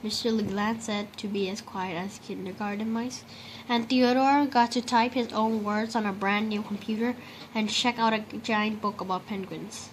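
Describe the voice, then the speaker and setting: A woman's voice: medium pitch, moderate pace, rough recording. A woman reading a children's picture book aloud in a storytelling style. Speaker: storybook reader. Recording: clear steady background noise.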